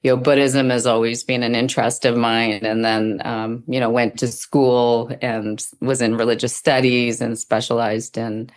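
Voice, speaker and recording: A middle-aged woman speaking as an interview guest, thoughtful and reflective, often pausing as she explains her practice. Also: very deep voice, little pitch movement. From Sri Lanka. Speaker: guest, dance teacher and Zen practitioner.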